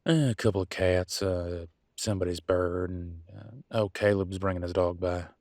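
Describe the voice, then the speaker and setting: A man's voice: deep voice, steady tone, moderate pace, darkish tone, no room sound, clean studio sound. A man playing a proud, wounded werewolf husband and country vet in a roleplay audio drama, with a drawl and a folksy, bitter, raw way of talking. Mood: gentle, casual. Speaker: voice actor. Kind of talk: dramatic roleplay audio, one side of a couple's argument.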